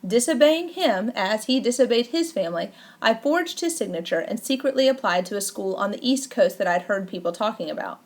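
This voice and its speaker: A woman reading a first-person memoir aloud as an audiobook, in a measured, deliberate way with careful emphasis. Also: mid-range voice, expressive. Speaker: audiobook narrator.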